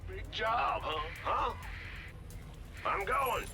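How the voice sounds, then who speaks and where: An actor playing brash, gung-ho soldiers and workers in a video game, in short barked stock responses. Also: male voice, high-pitched, lively intonation, very slow, music in the background, exaggerated voice. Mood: gruff. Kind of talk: video game unit barks.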